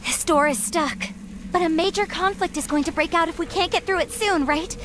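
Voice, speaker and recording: A woman voicing an earnest, insistent teenage girl in an anime adventure game, expressive and urgent. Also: very high voice, brisk, noisy background. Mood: urgent, exasperated. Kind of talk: anime-style video game voice acting. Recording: studio voice under game music.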